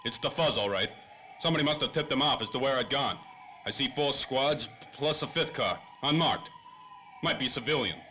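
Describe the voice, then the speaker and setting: A man's voice: mid-range voice, normal speed, sharp tone, lightly acted, low-quality recording. A man playing an impatient, wisecracking, slangy tough guy in 1930s radio drama style. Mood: urgent. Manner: slangy, snappy. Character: hard-boiled, impatient, wisecracking male 1930s detective type. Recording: faint noise, light ambience.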